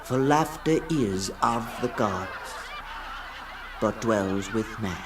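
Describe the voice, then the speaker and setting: A man giving a solemn, dramatic literary reading in the style of 1960s radio, swinging between hushed and ringing tones. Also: fairly low pitch, expressive, takes it slowly, background noise. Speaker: storyteller, reader. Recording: 1960s radio production with music behind. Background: music.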